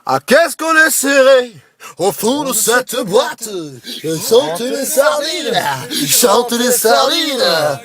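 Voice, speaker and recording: Male prank caller, high voice, posing as an excitable radio quiz host, pushy and boisterous improvised patter. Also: drawn-out words, a crowd in the background. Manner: boisterous, pushy, hyped-up exhortations.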